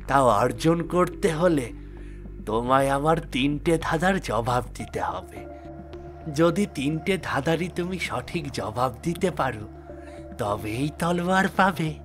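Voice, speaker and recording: A man voicing a mysterious dwarf guardian in a fairy-tale cartoon, measured and deliberate. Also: mid-range voice. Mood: curious, guarded. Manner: measured, deliberate riddle-posing. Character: mysterious male dwarf guarding a magic sword, testing riddler.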